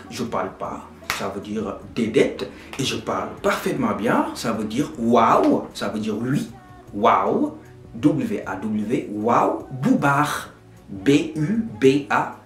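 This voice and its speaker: A man teaches slowly and patiently, spelling each word out letter by letter. His pitch swings widely as he stresses words. He is recorded in an echoey room with faint music behind him. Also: very animated. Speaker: language teacher. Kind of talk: Wolof lesson in French.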